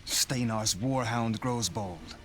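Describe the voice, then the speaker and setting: A man's voice: lowish voice, slow. A man playing a vengeful young Viking jarl in a game, solemn and defiant, with archaic phrasing. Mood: grim, wary. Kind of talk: cinematic game dialogue. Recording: studio voice over game music.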